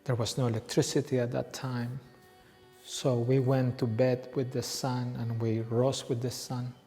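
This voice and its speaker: Slow gravelly man in his forties. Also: mid-range voice, flat delivery. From Peru. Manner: slow, reflective, with frequent pauses. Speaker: yoga teacher. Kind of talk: personal storytelling. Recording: reverberant room with soft background music.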